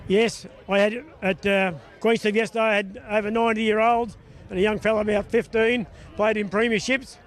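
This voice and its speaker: A man's voice: sits high, little pitch movement, moderate pace. A man who organises a bowls competition, answering interview questions outdoors in a rambling, plain-spoken way.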